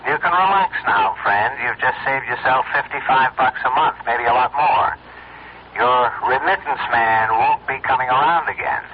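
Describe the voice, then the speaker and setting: A dull, telephone-like radio drama recording with faint noise brings a man playing a cold American killer. His medium-pitched voice is curt and sardonic, mocking whoever he speaks to. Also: moderate pace, lightly acted. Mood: smug, mocking. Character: David Bliss, cold, mocking hired-killer type of man. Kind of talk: old-time American radio drama acting.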